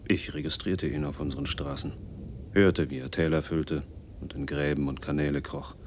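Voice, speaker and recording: A man's voice: a bass voice, fairly even intonation, normal speed, slight background noise. An actor playing a lonely, bitterly brooding man in his forties in a radio drama monologue, speaking in clipped, literary fragments. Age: 40s.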